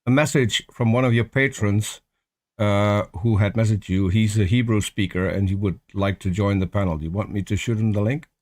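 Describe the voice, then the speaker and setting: A man's voice: fairly low pitch, moderate pace, darkish tone. A man producing a livestream, giving the host a hesitant update with many fillers. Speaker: stream producer. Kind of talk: casual backstage update.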